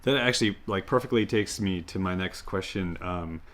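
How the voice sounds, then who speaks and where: A man's voice: low voice, normal speed, fairly bright tone. A man asking questions in a casual, informal way, phrasing them loosely and tentatively as he goes. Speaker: interviewer asking audience questions.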